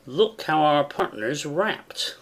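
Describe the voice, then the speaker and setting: Man acting a slow, wary noble soldier. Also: fairly high pitch, expressive, fairly bright tone, exaggerated voice. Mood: wry, measured. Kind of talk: dramatic Shakespeare reading.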